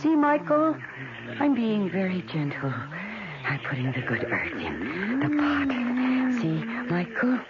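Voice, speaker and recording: A woman's voice: a little high, wildly swooping pitch, slow, heavily acted. An actress playing an eccentric, doting wife who coos and fusses over her plants in an old radio drama. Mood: tender, cooing. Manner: cooing, coaxing, fussing. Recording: noisy, muffled old radio broadcast.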